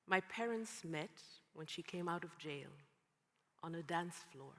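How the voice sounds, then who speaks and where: A woman from Rwanda, a symposium speaker, giving slow, measured, poetic personal testimony. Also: on the low side, expressive, fairly bright tone. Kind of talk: spoken-word personal testimony. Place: large conference hall. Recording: long hall reverb.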